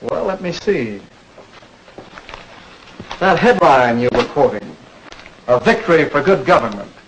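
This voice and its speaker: Patient campaign coach, animated pitch, explanatory, old educational film, faint background noise. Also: male voice. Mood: instructive. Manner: instructive, probing questions, measured explanations. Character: patient campaign speechwriter coaching a young learner. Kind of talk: 1940s educational film dialogue. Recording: noisy old 1940s film soundtrack.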